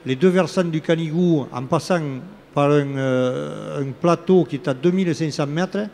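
A man's voice: a little high, normal speed, vocal fry, darkish tone. A man in his sixties, a local elected official, speaking in a live interview on a fair-hall studio set, hesitant with many fillers and restarts. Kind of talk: live talk-show interview. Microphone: red handheld microphone. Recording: faint fair hall ambience.

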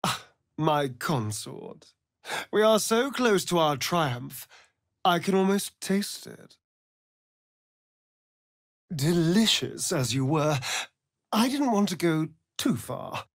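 A man's voice: fairly high pitch, expressive, takes it slowly, pauses often, no echo, clean studio sound, heavily acted. A male actor voicing a sly, vain vampire rogue in a fantasy video game, with an arch, flamboyant, sardonic drawl. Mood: seductive, pleased.

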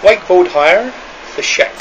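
A man's voice: sits high, lively intonation, unhurried, dull audio. A man in casual sightseeing chat, with an exclamatory way of talking. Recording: noisy amateur camera audio.

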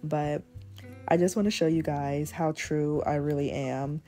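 Hoarse young woman with a low, dark voice. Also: fairly even intonation, faint music behind. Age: 20s. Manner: deliberately speaking in a lower register. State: losing her voice, hoarse. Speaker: journaling channel host. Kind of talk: casual talk over journaling.

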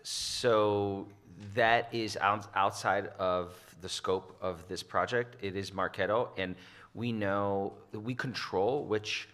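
A man's voice: lowish voice, steady tone, slow pace, fairly bright tone. A man presenting at a conference, answering audience questions with many restarts and fillers. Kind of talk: Q&A after a conference talk.

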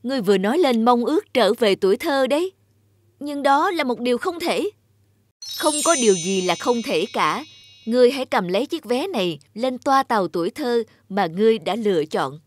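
A woman voicing a solemn, commanding time goddess in a cartoon, speaking in a slow, lofty way. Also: fairly high pitch, very animated, slight background noise. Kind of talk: dubbed cartoon acting.

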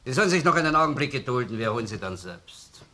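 A man's voice: medium pitch, lively intonation, fast, very noisy, lightly acted. A man with a Viennese accent playing a doubting, persistent police detective in a radio crime drama, dry and colloquial. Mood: businesslike. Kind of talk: German-language radio crime drama acting.

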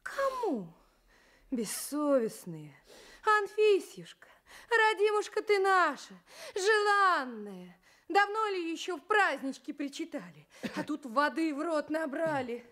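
A woman's voice: high-pitched, big pitch swings, takes it slowly, fairly bright tone, exaggerated voice. A woman playing an indignant, loyal young village girl in a radio drama, reproachful and wailing, with folksy peasant speech. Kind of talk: Soviet radio drama acting.

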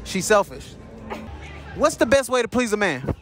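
A man's voice: high voice, big pitch swings, moderate pace, over loud music, over a noisy crowd. A young man, a street interviewer asking playful questions with a teasing, jokey manner, recorded at night in an arcade and outside on a city street. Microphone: handheld phone.

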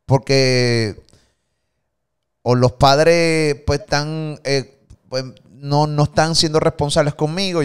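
A man hosting an interview from a studio, asking probing questions in a halting way full of fillers. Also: mid-range voice, clean tone. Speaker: host. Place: studio, indoors. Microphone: studio mic.

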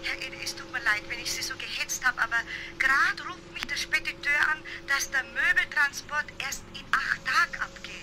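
A high voice on a noisy telephone line, a polite female customer. She is earnest and apologetic, as in a radio play. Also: normal speed. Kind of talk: German radio play for children.

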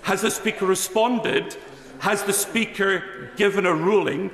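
A man's voice: high voice, unhurried, fairly bright tone. A middle-aged man, a member of parliament, giving a formal, defensive speech from his notes in a debating chamber. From Scotland. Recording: echoey chamber with long reverb.

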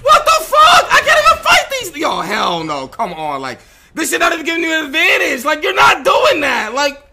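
Loud, high-pitched American male streamer. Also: wildly swooping pitch, moderate pace, vocal fry, very noisy. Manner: incredulous, profane, repeats himself. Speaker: game streamer. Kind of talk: livestream gameplay reaction, roleplay.

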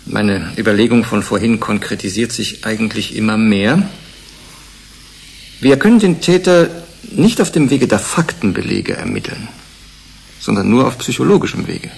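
An actor playing a blunt, analytical investigator in a German radio play, reasoning deliberately and emphatically. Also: male voice, on the low side, lively intonation, creaky, breathy edge, full character voice. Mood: deducing, convinced. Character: blunt, analytical male investigator on a research committee. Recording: 1980s radio play, faint noise, ambience.

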